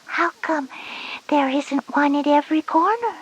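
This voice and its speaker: Casting: a tiny curious little sister, breathy, soft and high, earnest, for a children's educational story. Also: female voice, a little slow, lo-fi sound.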